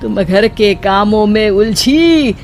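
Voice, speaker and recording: A woman's voice: medium pitch, expressive, takes it slowly, darkish tone. A woman playing a boastful elderly mother-in-law who declaims in rhyme for a cartoon moral story. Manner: pompous, declamatory rhyming. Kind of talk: animated moral story dialogue in verse. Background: background music.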